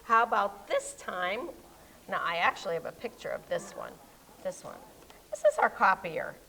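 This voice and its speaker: A woman's voice: mid-range voice, very animated, normal speed, gravelly, pushed and bright, low-quality recording. A woman co-hosting a local library show, chatting casually about books and trailing off mid-word, with an American accent. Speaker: co-host, children's librarian. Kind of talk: casual chat. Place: public library.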